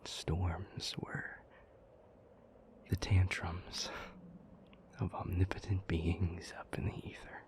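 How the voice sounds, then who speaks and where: Male actor as grave demon lover for audio roleplay: deep, slow, half-whispered, troubled but powerful. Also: level tone, darkish tone. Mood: brooding, doubtful. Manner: slow, deliberate, halting phrases. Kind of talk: intimate audio roleplay acting.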